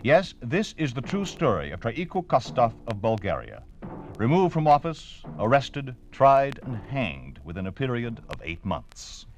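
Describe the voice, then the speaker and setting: A man's voice: medium pitch, huge pitch swings, unhurried. An American man announcing in a scripted 1950s radio style, solemn and declamatory. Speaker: announcer, narrator. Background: music.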